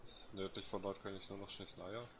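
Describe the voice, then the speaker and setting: A man's voice: sits low, little pitch movement, fairly quick, very noisy. A man who is a native German speaker, chatting casually and a little hesitantly as he livestreams a game. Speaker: game streamer. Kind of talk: casual livestream chat over gameplay. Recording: loud game music behind voice. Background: game music.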